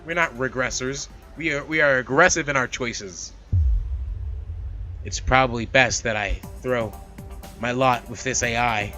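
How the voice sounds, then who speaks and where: A man hosting a let's play, reading a visual novel aloud with animated, slangy casual asides. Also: medium pitch, a little slow. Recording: loud background music. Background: game music.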